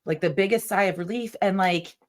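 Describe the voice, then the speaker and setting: A woman's voice: medium pitch, a little fast. A woman hosting a podcast in casual interview chat, chatty and enthusiastic, full of fillers like "like". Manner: chatty, enthusiastic, uses "like" a lot. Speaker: podcast host.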